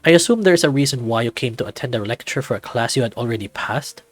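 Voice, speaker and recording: A man's voice: medium pitch, lively intonation, quick pace, close to an everyday voice. A man playing a jovial male professor with a teasing, lecturing manner in visual novel dialogue. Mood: instructive. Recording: background music under the voice.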